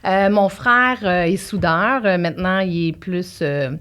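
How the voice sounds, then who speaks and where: Woman, Québécois accent, lower voice, energetic talkative guest. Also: measured pace, clear, resonant tone. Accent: Québécois French. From Quebec. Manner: energetic, talkative, lively storyteller. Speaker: guest entrepreneur. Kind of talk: casual interview chat.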